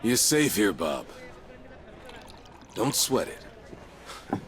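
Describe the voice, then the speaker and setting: Slow, low, weary ex-prisoner. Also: male voice, very animated, fairly bright tone, faint music behind, full character voice. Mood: reassuring. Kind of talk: video game voice acting.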